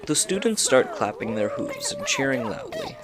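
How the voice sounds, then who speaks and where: A man's voice: mid-range voice, wildly swooping pitch, moderate pace, heavy background noise. A man narrating a scripted story in a dramatic storybook style.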